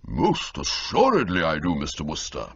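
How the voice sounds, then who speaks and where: A man voicing a pompous elderly gentleman who talks in a slow, formal, stately way. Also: very deep voice, huge pitch swings, vocal fry, breathy edge, exaggerated voice. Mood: grave. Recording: slightly muffled lo-fi audio.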